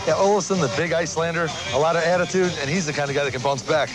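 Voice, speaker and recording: Fast-talking male color commentator. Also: on the high side, music in the background. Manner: quick, emphatic analysis. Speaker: color commentator, former strongman. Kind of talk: sports commentary.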